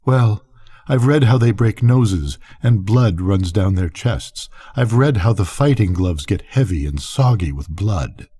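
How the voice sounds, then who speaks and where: A proud, tense ranch wife from a storybook, read by an older man. She is insistent and wistful, in a soft-edged husky voice that swings widely in pitch. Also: expressive, measured pace. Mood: uneasy curiosity.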